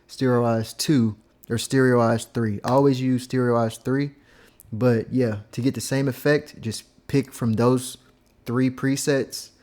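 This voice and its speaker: A young man casually explaining how he mixes his vocals, rambling and filling pauses with "like" and "um". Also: mid-range voice, a little mellow. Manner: laid-back, rambling, heavy use of "like" and "um". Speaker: music producer teaching vocal mixing. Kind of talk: casual screen-recorded tutorial.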